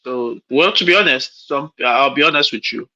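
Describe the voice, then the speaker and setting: A man's voice: medium pitch, moderate pace, bright, forceful tone. Frank Nigerian man giving career advice. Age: late 30s. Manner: frank, rambling, repeats himself with restarts. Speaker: mentor hosting a call. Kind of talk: casual advice on a call. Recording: lo-fi call audio.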